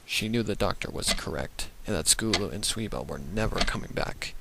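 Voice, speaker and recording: A man's voice: deep voice, animated, moderate pace, very noisy. A man reading a scripted dramatic story as its narrator. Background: loud background music.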